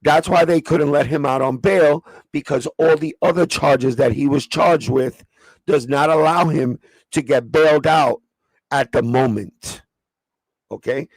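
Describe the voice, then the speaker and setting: Raspy new dude on a livestream, kinda high voice, dry close mic, cussing and breaking it down. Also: male voice, a little slow, dry recording, rough recording. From New York. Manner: streetwise, profane, explaining step by step. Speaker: livestream host. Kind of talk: casual livestream chat.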